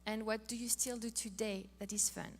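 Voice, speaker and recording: A middle-aged woman from France with a French accent, giving a playful, animated talk on marriage as a co-host. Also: fairly high pitch, moderate pace. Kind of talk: casual talk to an audience.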